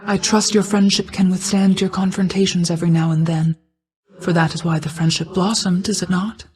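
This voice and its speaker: A woman voicing a stately goddess of night in a video game, a solemn, guarded mother who speaks in a formal, measured, archaic manner. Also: on the low side, steady tone, darkish tone, natural voice. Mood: reflective, composed. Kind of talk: scripted game character lines.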